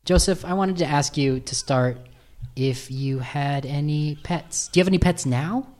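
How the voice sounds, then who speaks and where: A man's voice: a little high, moderate pace, a little mellow, dry recording. A man hosting a podcast, introducing the show and putting questions to his guest. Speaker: podcast host.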